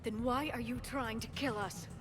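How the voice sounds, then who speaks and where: A woman's voice: a little high, steady tone, moderate pace, lightly acted. An actress playing a defiant, indignant female doctor and squadmate in a video game. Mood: indignant defiance. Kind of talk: video game dramatic acting. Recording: long echoing reverb, music behind.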